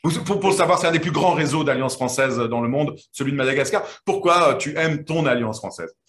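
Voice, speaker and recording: A man's voice: fairly high pitch, brisk, fairly bright tone, echoey room. A man hosting an online quiz final, interviewing the winners in a friendly, hesitant way with many fillers. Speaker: host.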